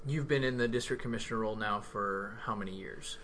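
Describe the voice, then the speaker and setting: A man's voice: lowish voice, quick pace, a bit bright. A man hosting a podcast interview, friendly and affirming, often laughing along.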